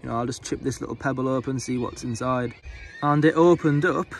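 A man's voice: medium pitch, brisk, creaky, slight background noise. A young man from England, a fossil hunter, narrating a casual vlog on a rocky beach and explaining his finds with enthusiasm. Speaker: fossil hunter, channel host.

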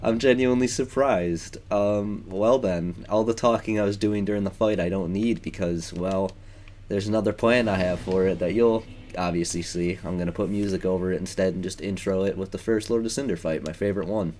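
A man's voice: low-pitched, brisk, a little mellow, a little hiss or hum. A young man giving casual, rambling commentary while playing a video game, with lots of ums and likes. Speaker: let's play gamer.

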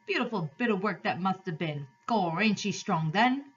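A woman's voice: medium pitch, animated, normal speed, breathy edge, highs cut off. A woman voicing a cheeky male street onlooker with a Cockney accent and a jocular manner.

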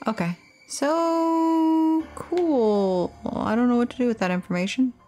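A woman's voice: a little high, measured pace, dark tone. A woman game streamer casually playing a horror game and reading its text aloud. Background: game music.

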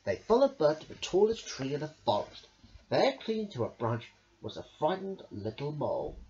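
Woman storyteller, children's book, hugely varied pitch, expressive delivery, lo-fi sound. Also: normal speed, slight background noise. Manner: expressive, with big swoops into character voices. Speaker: storyteller reading aloud. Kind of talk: reading a children's picture book aloud. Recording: dull lo-fi home recording.

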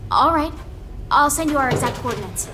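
An actress playing an earnest, determined young agent in a video game cutscene. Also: female voice, high voice, lively intonation, fairly quick, heavy background noise. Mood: focused, relieved. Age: young adult. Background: music.

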